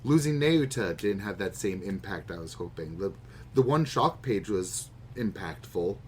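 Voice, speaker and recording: Male podcast co-host, low voice, casual and opinionated, light background noise. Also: expressive, unhurried, darkish tone. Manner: opinionated, musing, swears freely. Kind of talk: casual chat about manga.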